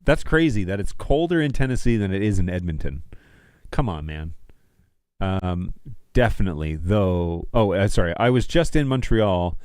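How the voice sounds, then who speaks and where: A man in his thirties with a fairly low voice talks casually to his livestream viewers. He is easygoing and slangy, speaking into a close studio mic in a small room with very little echo. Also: lively intonation, moderate pace, darkish tone, smooth. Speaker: livestream host. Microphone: close studio mic on a stand.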